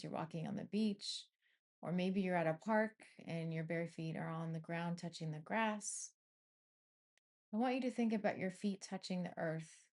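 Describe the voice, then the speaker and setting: Female meditation guide, slightly low register, soothing and unhurried, short phrases with space between them. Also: a little mellow.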